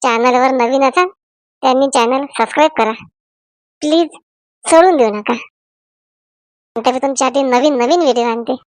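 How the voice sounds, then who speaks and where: A woman's voice: high-pitched, moderate pace, long pauses, dry recording, studio quality. A woman addressing viewers in a scripted channel sign-off. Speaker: channel presenter.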